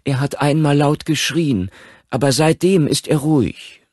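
A man's voice: on the high side, a little slow, darkish tone, no echo, clean studio sound, pitched above the performer's own voice. A man voicing a distressed mother in a horror audiobook, tearful and pleading. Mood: sobbing. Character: distressed mother of a small boy. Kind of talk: audiobook character acting.

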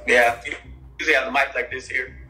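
A man's voice: mid-range voice, little pitch movement, fairly quick, bright, forceful tone. A man in his thirties on a casual video call, answering questions emphatically and stammering when puzzled. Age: 30s. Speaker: stand-up comedian being prank called. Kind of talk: casual phone interview. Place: plain room, on a video call. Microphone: phone on a video call.

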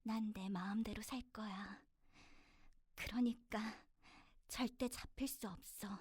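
A woman voicing a wounded teenage villain girl in an anime, bittersweet and sincere, her halting, emotional delivery often breathy and dropping toward a whisper. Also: fairly high pitch, darkish tone, no room sound, clean studio sound, heavily acted. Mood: conflicted, apologetic. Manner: halting, emotional, swinging from intense to tender. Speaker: voice actor recording a sample. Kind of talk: emotional anime voice acting with inner narration.

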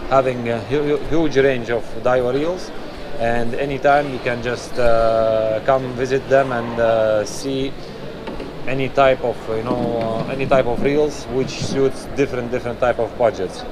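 A man in his thirties walks a big indoor expo hall and talks into a chest-mounted camera, his mid-pitched voice full of "uh"s and restarts. Steady air-conditioning hum and hall noise sit clearly under his voice. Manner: filler-heavy, frequent "uh" and restarts. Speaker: fishing channel host. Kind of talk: walkaround expo review. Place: large indoor exhibition hall. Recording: noisy expo hall ambience. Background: hall ambience and air conditioning hum.

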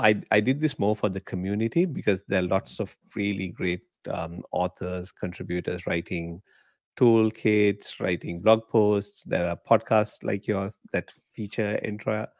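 Deep gravelly-voiced man, from Sri Lanka. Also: no echo, clean studio sound. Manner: relaxed, chatty, laughs often. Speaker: podcast guest. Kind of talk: casual interview chat. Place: home living room. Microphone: podcast mic in frame, video call.

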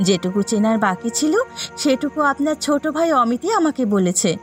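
A woman's voice: fairly high pitch, expressive, brisk, faint music behind, lightly acted. A woman voicing a devoted, principled young sister-in-law in a cartoon, earnest and respectful. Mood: admiring. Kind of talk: dramatic cartoon dialogue.

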